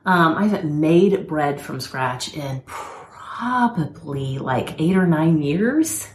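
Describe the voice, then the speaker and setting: A woman's voice: deep voice, lively intonation, slow pace, a little hiss or hum. A woman co-hosting a podcast, telling home stories in a casual, self-deprecating way and laughing easily. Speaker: podcast co-host. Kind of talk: casual chat. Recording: echoey room.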